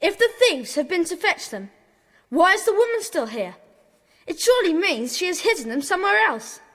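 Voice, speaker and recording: A woman's voice: very high-pitched, sing-song, moderate pace, fairly bright tone, exaggerated voice. A woman playing an earnest, inquisitive young schoolboy detective in a children's audio drama. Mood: curious. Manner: earnest, questioning. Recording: long echo of a stone dungeon.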